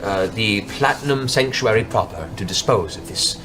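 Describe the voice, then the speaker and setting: A man voicing a fussy, formal elderly temple envoy in a tabletop game, speaking in a halting, ceremonious way. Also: on the low side, animated, close to an everyday voice. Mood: measured, officious. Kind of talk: tabletop roleplay in character.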